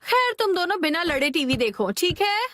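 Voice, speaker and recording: A woman's voice: very high voice, big pitch swings, moderate pace, heavily acted. A woman in a Hindi cartoon dub playing a reasonable but exasperated young mother, scolding and coaxing. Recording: loud music behind the voice.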